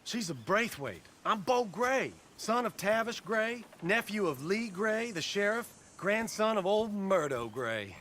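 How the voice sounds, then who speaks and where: A man's voice: high voice, very animated, measured pace, few pauses, a bit bright, slight background noise, exaggerated voice. An actor playing a nervous, earnest, lovestruck young man, rambling through his confessions.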